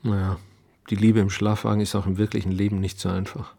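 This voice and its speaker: An actor playing a wry, guarded writer who is an outsider, speaking in a dry, hesitant, understated way. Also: male voice, on the low side, little pitch movement, quick pace, vocal fry, warm tone, no echo. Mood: dry, thoughtful. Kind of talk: naturalistic film acting.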